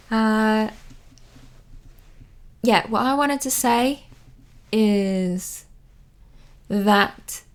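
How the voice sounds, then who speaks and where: A woman around thirty, not a native English speaker, giving earnest advice to camera, hesitant with many pauses and restarts. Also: mid-range voice, darkish tone. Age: 30s. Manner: earnest, repeats words for emphasis. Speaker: vlogger. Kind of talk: casual advice talk to camera. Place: living in London.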